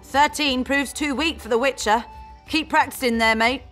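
A cocky woman soldier, for a fantasy game. Her voice is high, bright and swoops playfully as she teases, with music behind her. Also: moderate pace. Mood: playful, announcing. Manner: playful, mocking, announcing. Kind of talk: video game voice acting.

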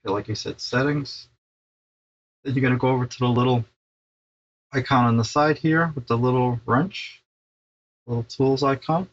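A man's voice: medium pitch, fairly even intonation, normal speed, long pauses, highs cut off. A middle-aged man giving a casual, informal step-by-step tech tutorial, sometimes hesitating and repeating himself. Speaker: tech installer presenting a how-to tutorial.

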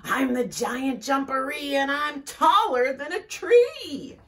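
A woman's voice: sits high, animated, slow, bright, forceful tone, distant mic, voice pushed up, heavily acted. A woman voicing a boastful, menacing hidden monster from a children's storybook in sing-song boasts, a little away from the mic. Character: boastful hidden giant jumperee, loud and menacing.

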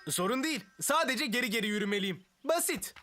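Very high-pitched eager young dragon hero. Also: male voice, animated, a little fast, bright, forceful tone, full character voice. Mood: confident. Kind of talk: animated cartoon dub. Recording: music clearly behind.

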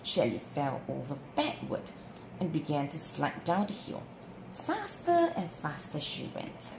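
A woman reading a children's story aloud in an expressive, deliberate way. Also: sits low. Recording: roomy with clear background noise.

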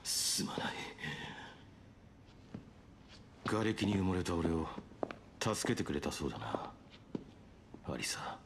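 An actor playing a grim, burdened young fighter in a game cutscene, terse and solemn. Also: male voice, low-pitched, very animated, normal speed, noisy background. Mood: somber, subdued. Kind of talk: Japanese game cutscene acting.

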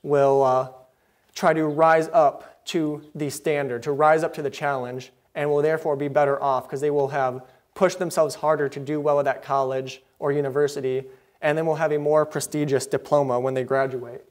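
A young man preaching a sermon from behind a pulpit into a pulpit microphone, earnest and mildly indignant, with quick asides. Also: a little high, little pitch movement. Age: young adult. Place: church sanctuary, behind a wooden pulpit.

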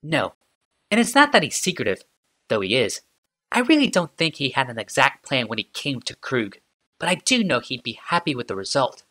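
A man's voice: mid-range voice, moderate pace, voice pushed up. A man putting on a wry, morbid female inventor. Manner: calm, conversational, mocking. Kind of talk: audiobook character reading.

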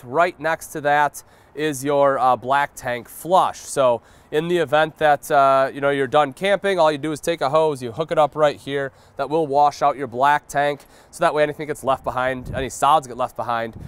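A man's voice: mid-range voice, normal speed, smooth. An American man in his thirties giving an explanatory product walkthrough as a presenter, addressing viewers directly in a folksy way. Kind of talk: sales walkthrough review, explanatory. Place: outdoor paved RV lot between parked trailers.

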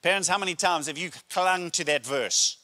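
A middle-aged man, a pastor preaching a sermon with emphatic, deliberate phrasing and pauses, speaking into a handheld microphone. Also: fairly high pitch, sharp tone. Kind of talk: sermon to a congregation. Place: church auditorium stage, indoors.

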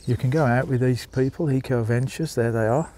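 A man's voice: medium pitch, brisk, dark tone, slight background noise. A man with a Birmingham accent narrating a walking tour outdoors, chatting to viewers and calling them folks as he films with a handheld phone. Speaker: vlogger. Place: outdoors, walking a small seaside town.

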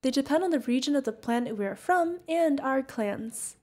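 A woman's voice: high voice, lively intonation, moderate pace, darkish tone. A woman playing a sweet, curious, friendly feathered alien bartender in an ASMR roleplay, chatting directly to the listener. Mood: friendly, chatty.

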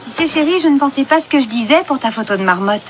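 A woman playing a gently teasing mother in a children's cartoon, measured yet expressive. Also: sits high, over music. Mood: gently firm. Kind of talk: French animated children's series dubbing.

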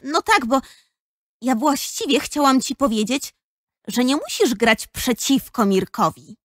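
A woman's voice: sits high, sing-song, unhurried, fairly bright tone, dry recording, studio quality, heavily acted. A female performer playing an earnest, caring, persistent schoolgirl in a children's audio drama. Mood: concerned, persuasive.

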